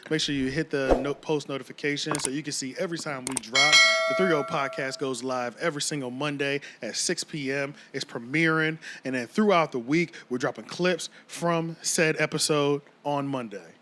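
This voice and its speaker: A man hosting a podcast chats casually in an animated, emphatic way. His voice is bright and fairly high for a man. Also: normal speed, hardly any pauses. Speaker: podcast host. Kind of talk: casual chat.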